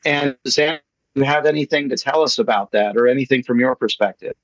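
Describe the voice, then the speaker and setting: A man's voice: mid-range voice, quick pace, no echo. Chatty American man on a muffled call. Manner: chatty, jokey, enthusiastic. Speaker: playwright presenting his play at an online meeting. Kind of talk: casual chat. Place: online meeting call. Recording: muffled lo-fi online meeting audio.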